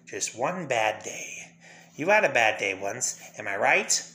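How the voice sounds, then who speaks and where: Crazy clown villain from a comic, bright edgy voice, taunting and erratic. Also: male voice, medium pitch, very animated, a little slow. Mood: gloating, then taunting, bitter. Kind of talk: fan dramatic reading of a comic.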